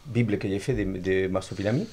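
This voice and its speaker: A man, a guest artist with as his language, telling work anecdotes in a halting, digressive way. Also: lowish voice, darkish tone, slightly rough. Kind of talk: animated interview anecdotes.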